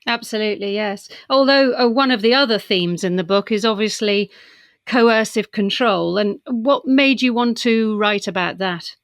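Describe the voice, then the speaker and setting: A woman's voice: mid-range voice, normal speed, no room sound, clean studio sound. A woman hosting an interview, offering comments and questions to a guest. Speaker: interviewer, podcast host.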